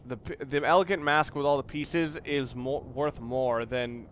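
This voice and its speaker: A man's voice: mid-range voice, fairly even intonation, unhurried, a bit bright, a little hiss or hum. A young man giving casual, rambling commentary over a video game and correcting himself as he goes. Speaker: let's play commentator.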